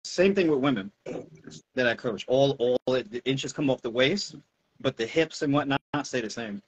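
A man's voice: medium pitch, lively intonation, normal speed. A middle-aged American man, a fitness coach, explaining things informally in a casual video-call Q&A. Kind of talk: casual Q&A chat. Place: indoor room at home, video call. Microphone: wired earphones on a video call. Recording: dull, muffled video-call audio.

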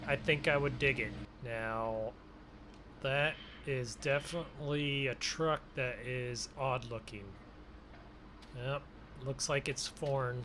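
A man's voice: a little high, noisy background. A man giving casual, rambling roleplay commentary as a gaming video host, chatty and full of exclamations.

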